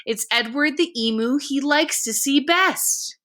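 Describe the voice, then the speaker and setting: Woman reading as an eager, bright-voiced boastful emu. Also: high voice, measured pace, voice pushed up. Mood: amazed, proud. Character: eager, boastful male emu in a children's storybook.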